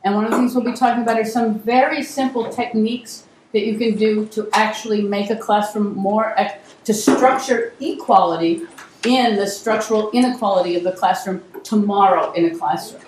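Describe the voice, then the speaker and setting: A woman's voice: mid-range voice, normal speed, darkish tone, a little hiss or hum. Middle-aged woman talking to a seminar room, thin strained voice, lots of ums, sounds far off and echoey. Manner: conversational, many ums and restarts. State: weak voice, straining to project. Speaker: program director opening a workshop. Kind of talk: welcoming talk to a live audience.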